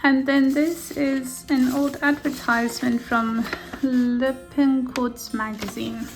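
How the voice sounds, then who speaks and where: A gentle, softly enthusiastic woman whose first language is Afrikaans, talking through her handmade journal at an easy pace. Her voice sits a little high. Faint music plays quietly behind her. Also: level tone, slow pace, low-quality recording. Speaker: junk journal maker. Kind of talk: casual flip-through narration. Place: indoors at a craft table.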